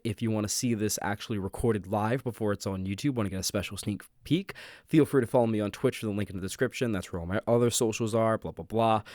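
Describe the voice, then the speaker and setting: A man's voice: lowish voice, darkish tone, dry recording, studio quality. Rambling nasal-sounding young man host. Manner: rambling, with many fillers and restarts. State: nasal from a head cold. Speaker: podcast host. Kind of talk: casual off-the-cuff podcast chat.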